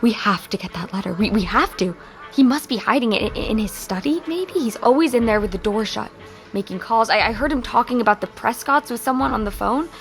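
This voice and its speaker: An American woman playing an earnest, grieving teenage high school girl in an audio drama, speaking haltingly and emotionally. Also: expressive, soft background music. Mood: urgent, determined. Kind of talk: naturalistic audio drama dialogue.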